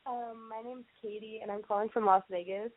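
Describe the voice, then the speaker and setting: A woman's voice: a little high, fairly even intonation, a little fast, narrow, tinny audio. A woman calling in while sick, chatting casually and informally. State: sick with a cold or similar. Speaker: fan caller. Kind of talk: casual chat.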